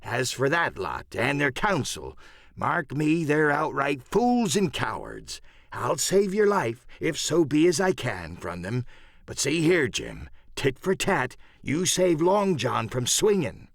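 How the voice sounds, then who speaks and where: A cunning old pirate sea cook for an audiobook. His pitch leaps and plunges through rustic sailor talk, blustering one moment and wheedling the next. The sound is close and dry. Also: wildly swooping pitch, slow pace, creaky, no echo, heavily acted. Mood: urgent, conspiratorial. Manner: rustic sailor speech, emphatic and wheedling by turns. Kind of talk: dramatic audiobook character acting.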